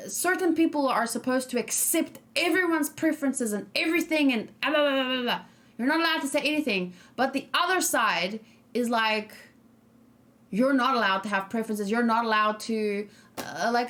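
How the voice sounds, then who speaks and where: A woman's voice: a little high, a bit bright. A young woman talks loosely into a desk mic, reacting to a game trailer. She rambles openly, stopping and restarting her thoughts with plenty of fillers. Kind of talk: casual livestream chat.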